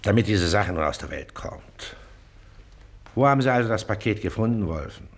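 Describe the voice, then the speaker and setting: An irritable Prussian magistrate in a radio drama. His delivery is highly animated, swinging widely in pitch, self-important and blustering, with a condescending edge. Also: moderate pace. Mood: officious, condescending. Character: pompous, irritable male Prussian district magistrate. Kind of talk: German stage-comedy radio drama acting.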